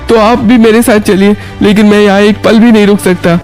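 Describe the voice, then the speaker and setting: A man's voice: high-pitched, steady tone, brisk, darkish tone, soft background music, pitched above the performer's own voice, lightly acted. A man voicing an anxious, protective young husband in a Hindi moral story, speaking urgently and emphatically. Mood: urgent pleading.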